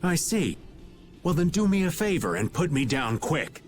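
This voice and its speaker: A man's voice: a little high, big pitch swings, moderate pace, soft background music. An actor playing a scruffy joking middle-aged man with a world-weary, teasing manner in a video game cutscene. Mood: resigned. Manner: glib and teasing, turning weary.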